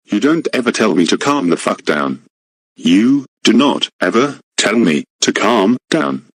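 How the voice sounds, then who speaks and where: A man voicing a hot-tempered, rich boss who swears and shouts indignantly in amateur voice acting. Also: fairly low pitch, animated, measured pace, slightly rough, no echo, full character voice. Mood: furious.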